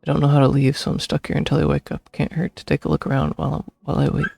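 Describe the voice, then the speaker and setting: A man's voice: a little high, little pitch movement, rapid-fire, creaky, a little mellow, dry recording. A man giving casual commentary as he streams and reacts to a game. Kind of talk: casual gameplay commentary, reading along.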